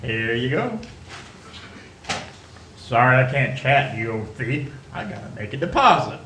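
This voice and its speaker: A man acting a scatterbrained, excitable older uncle in a radio play, exclaiming and stammering in theatrical style. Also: medium pitch, a little slow. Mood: cheerful. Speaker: stage actor in a radio play. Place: theatre stage, picked up from some distance. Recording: roomy, distant stage recording with noise.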